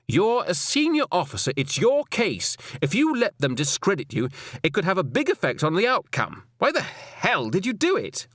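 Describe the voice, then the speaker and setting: Man voicing a cold, stern senior policewoman, swooping pitch. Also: huge pitch swings, moderate pace, fairly bright tone, no room sound, clean studio sound, full character voice. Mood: stern, urgent. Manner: clipped, authoritative, lecturing. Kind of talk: audiobook character dialogue.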